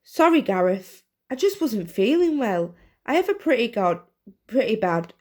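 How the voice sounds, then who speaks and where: A woman's voice: on the high side, sing-song, measured pace, a little mellow, pitched above the performer's own voice. A woman voicing a polite, apologetic schoolboy in a children's story, speaking mildly. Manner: apologetic, mild.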